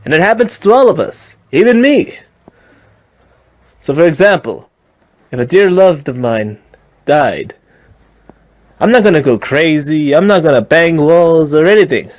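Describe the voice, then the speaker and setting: A man playing a calm, wise Jedi mentor who lectures his students earnestly in an amateur fan skit. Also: fairly high pitch, measured pace, pauses often. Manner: instructive, earnest, preachy.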